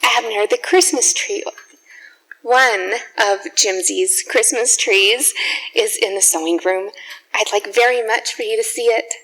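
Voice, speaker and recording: A woman acting as a gentle, loving aunt in a radio drama, speaking tenderly and coaxingly. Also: medium pitch, unhurried, rough recording. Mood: fond, pleased.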